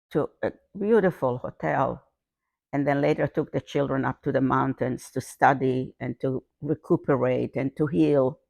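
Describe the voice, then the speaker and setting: An older woman from Hungary, giving a halting personal account with frequent repeated words. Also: extremely low pitch, vocal fry, a little mellow, no echo. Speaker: interviewee. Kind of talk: personal testimony.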